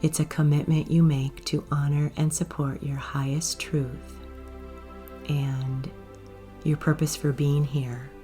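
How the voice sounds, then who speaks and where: A woman guiding a meditation in a soft, gentle, unhurried way, with breathy phrase endings. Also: low-pitched, level tone. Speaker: yoga nidra guide. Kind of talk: guided meditation narration. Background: soft music.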